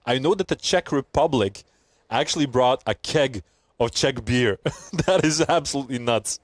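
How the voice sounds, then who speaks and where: A young man from Belgium hosting a live sports stream, chatting with viewers and calling the play with rising excitement. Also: medium pitch, normal speed, no room sound. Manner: chatty, reads out chat messages, laughs often, excited during play. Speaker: livestream commentator. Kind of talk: casual stream chat and play-by-play commentary.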